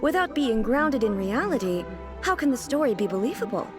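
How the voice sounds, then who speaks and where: A woman's voice: fairly high pitch, very animated, a little fast. A woman voicing a stately female ruler-deity in a video game, formal and earnestly literal. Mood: perplexed. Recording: game audio with music behind.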